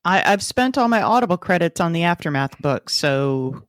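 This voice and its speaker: A man's voice: fairly high pitch, animated, normal speed, fairly bright tone, no room sound. A man co-hosting a podcast, talking casually through a book discussion. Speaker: podcast co-host.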